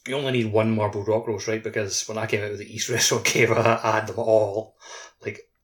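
A man's voice: on the low side, little pitch movement, brisk. A man co-hosting a podcast in a casual, rambling way, peppering his talk with "like". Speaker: podcast co-host. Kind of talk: casual chat.

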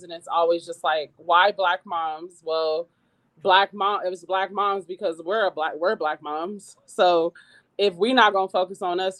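Earnest squeaky-voiced woman organizer. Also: low-quality recording. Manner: earnest, halting with fillers. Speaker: guest, community organizer. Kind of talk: radio interview.

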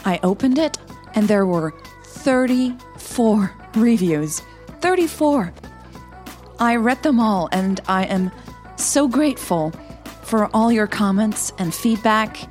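A woman with a soft, breathy voice, speaking as a podcast host and storyteller. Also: medium pitch. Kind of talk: scripted story narration and listener thanks.

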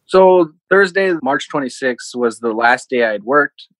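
A man's voice: mid-range voice, lively intonation, measured pace, fairly bright tone, dry recording. A man in his thirties from Minnesota, giving casual, conversational answers as an interview guest. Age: 30s. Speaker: interview guest, casino team supervisor.

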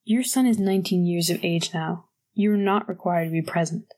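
A woman doing a cool, matter-of-fact female manager, lowish and mellow. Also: fairly quick, lightly acted.

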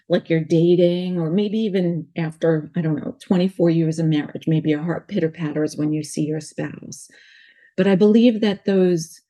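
Mellow husky New York woman. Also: fairly low pitch. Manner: rambling, restarts mid-sentence, earnest. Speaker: guest, life coach. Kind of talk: casual interview talk.

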